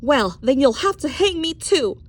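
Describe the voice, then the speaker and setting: Storybook heroine acted by a woman reader: distraught young schoolmistress, defiant, pleading, pitched high. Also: sing-song, measured pace. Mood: defiant, alarmed. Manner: urgent, pleading, exclaiming.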